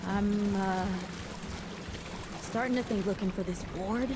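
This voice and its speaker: Actress as plain-spoken female survivor, folksy informal speech, post-apocalyptic video game, loud ambient effects behind. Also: mid-range voice, slow, very noisy, close to an everyday voice. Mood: uneasy, weary. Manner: folksy, informal drawl with dropped grammar. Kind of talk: video game cutscene acting.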